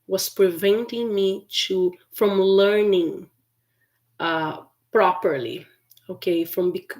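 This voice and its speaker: A woman from Brazil whose first language is Portuguese, teaching English in a chatty live stream with many fillers and restarts. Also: mid-range voice. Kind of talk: casual livestream lesson.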